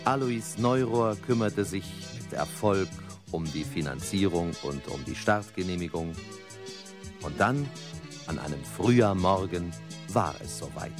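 A man narrating a radio drama in a measured, deliberate manner. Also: deep voice, lively intonation. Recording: music bed under narration.

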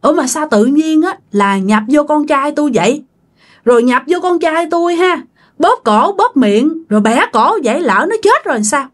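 A woman's voice: a little high, expressive, a little slow, husky. A woman playing a scolding old village matriarch. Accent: Southern Vietnamese. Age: 80s. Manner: blunt, scolding, firing questions.

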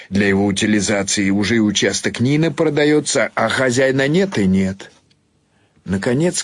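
A man's voice: lowish voice, husky, no echo. A man narrating a literary short story in a dramatised radio reading.

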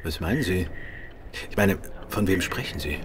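Cool-headed detective lead, expressive, very noisy radio-play recording. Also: male voice, sits low, moderate pace. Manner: composed, quietly assured. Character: calm, sharp-witted gentleman detective.

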